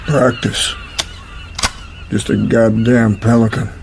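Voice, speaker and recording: An actor playing a menacing waterfront tough in a radio drama, with an American accent and a curt, hostile manner. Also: male voice, fairly low pitch, level tone, takes it slowly, creaky, noisy background. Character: surly, menacing American waterfront thug with a rifle.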